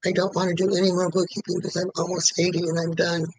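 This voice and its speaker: A man in his late seventies, a client in a tapping session, who repeats one statement over and over in an even rhythm. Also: sits high, fast talker, no echo, poor audio. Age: 70s.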